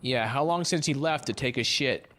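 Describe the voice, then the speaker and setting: A man's voice: medium pitch, moderate pace, a bit bright, lightly acted. A man playing a cocky, laid-back shipmate in an audio drama, casual and flippant. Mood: unbothered.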